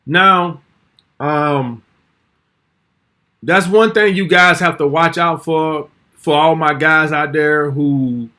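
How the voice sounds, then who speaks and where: A man's voice: a little high, slow, pauses often, bright, forceful tone. A man in his forties hosting a casual commentary vlog, talking bluntly and brashly and swearing freely. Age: 40s.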